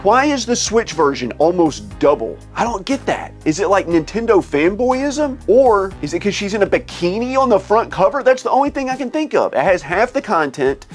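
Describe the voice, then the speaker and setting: A man hosting a gaming vlog, sick with a cold and losing his voice, ranting in an exasperated, animated way from his game room. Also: high voice, moderate pace, few pauses, faint music behind. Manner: exasperated, animated complaining with laughs. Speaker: gaming channel host. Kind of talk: casual vlog rant.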